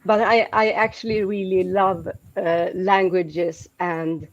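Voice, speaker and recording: A woman's voice: lowish voice, level tone, slow pace, lo-fi sound. A Swedish woman chatting casually in English as a second language, hesitant with many restarts and fillers. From Sweden. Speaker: guest. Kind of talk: casual chat.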